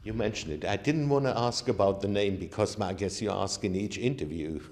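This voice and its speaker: A man's voice: fairly low pitch, brisk, a little mellow. A man interviewing a band, asking questions with some hesitation and fillers.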